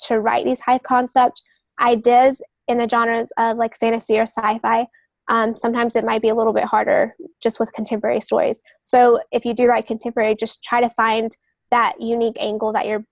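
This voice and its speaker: Female podcast guest expert, explanatory and conversational. Higher pitch, little melodic movement. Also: level tone, moderate pace, no echo. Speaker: guest expert, novelist and agent.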